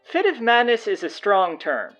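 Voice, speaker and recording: A woman's voice: mid-range voice, sing-song, moderate pace, highs cut off, soft background music, natural voice. A woman playing a cheeky, boastful teenage schoolboy in an audio drama, interjecting with playful indignation. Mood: cheeky, indignant. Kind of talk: scripted audio drama dialogue.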